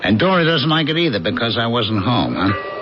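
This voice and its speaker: A man acting out a frustrated working man in his thirties in an old radio play. His pitch swings widely and he stammers as he gets worked up. The recording is dull, with noisy ambience behind him. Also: a little fast, close to an everyday voice. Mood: resentful. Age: 30s. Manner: hesitant, stammering, excitable. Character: anxious, frustrated 35-year-old everyman worker mistaken for a god. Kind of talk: 1980s radio drama acting.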